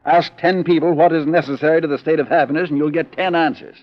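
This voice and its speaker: An actor playing a haughty, disdainful corporate lawyer who speaks precisely, in old-time radio drama style. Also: male voice, fairly high pitch, steady tone, quick pace, close to an everyday voice. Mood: scornful. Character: haughty, scornful male corporate lawyer, protective of his client. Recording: muffled vintage radio recording.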